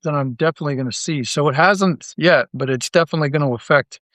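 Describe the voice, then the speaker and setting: A man's voice: a little high, fast talker, a bit bright, dry recording. A man speaking casually as a podcast guest and creator, self-deprecating and often repeating his words. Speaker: interview guest, audio drama creator.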